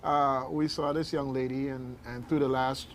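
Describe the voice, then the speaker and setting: Halting male radio host, faint noise. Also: mid-range voice, fairly even intonation. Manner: halting, repeats words. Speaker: radio talk show host. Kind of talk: casual on-air discussion.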